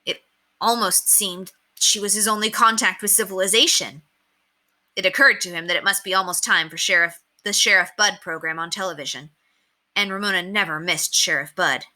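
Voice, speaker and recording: A woman reading a children's story aloud with expressive, dramatic emphasis. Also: lowish voice.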